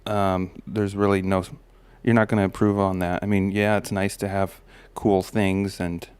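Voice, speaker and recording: A man, a parent, sharing life advice in a relaxed personal monologue, with a slight gravel in his voice and frequent pauses and restarts. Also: low-pitched, no echo. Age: adult parent of a daughter. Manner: relaxed, musing, many fillers and restarts. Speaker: podcast host.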